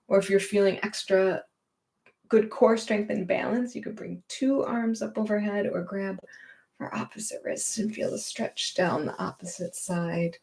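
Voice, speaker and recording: A woman teaching gentle movement and meditation in a reassuring, permissive, unhurried manner. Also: medium pitch.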